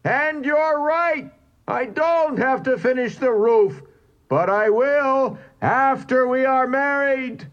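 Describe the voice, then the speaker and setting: A man's voice: very high voice, wildly swooping pitch, takes it slowly, few pauses, fairly bright tone, slightly rough, muffled recording, voice pushed up, full character voice. A man voicing a determined young carpenter who roars his demands. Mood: bellowing. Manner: bellowing, insistent. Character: young male carpenter, fierce and devoted.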